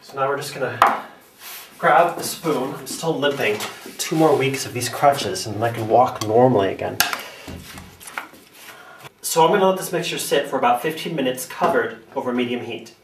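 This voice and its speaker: A man's voice: mid-range voice, normal speed. Man in an echoey kitchen, far from the mic. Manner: relaxed, chatty, with fillers and restarts. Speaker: home cooking show host. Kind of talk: casual step-by-step cooking demonstration. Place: home kitchen. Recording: echoey room, distant mic, faint noise.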